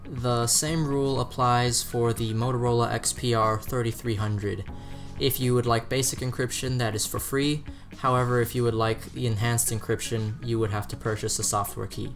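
Male product reviewer over background music. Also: medium pitch. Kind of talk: scripted product review narration.

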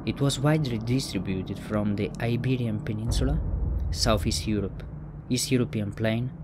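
Man narrating over loud noise. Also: on the low side, normal speed. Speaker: documentary narrator. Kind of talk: scripted narration.

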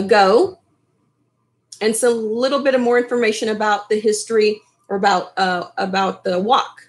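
Slow, higher-voiced woman reading aloud. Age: middle-aged. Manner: unhurried, pausing to comment to young listeners. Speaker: storyteller reading a picture book aloud. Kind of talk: expressive children's read-aloud with casual asides. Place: small furnished room at home, indoors. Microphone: webcam at desk distance.

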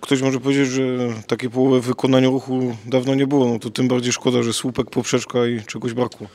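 A man's voice: medium pitch, flat delivery, fast talker, darkish tone. A man asking a player short post-match interview questions for a club channel.

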